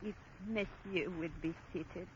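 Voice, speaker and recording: An actress playing a quiet, formal woman doorkeeper with terse speech, in a muffled 1940s radio play. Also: fairly low pitch, a little slow, breathy edge. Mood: cool, eerie. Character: hushed, formal French woman doorkeeper at mysterious party.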